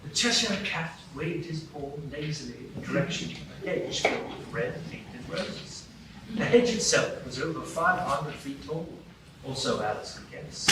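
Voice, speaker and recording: A man narrating a storybook tale, animated and expressive. His slightly high voice swoops lively. The sound is roomy and distant, with clear room noise behind. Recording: roomy live stage sound from afar with background noise.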